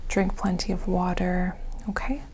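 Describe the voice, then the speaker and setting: A soft-spoken woman doing an ASMR medical roleplay as examiner, calm and reassuring, often slipping into a whisper. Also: fairly low pitch, measured pace. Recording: clearly noisy ambient background.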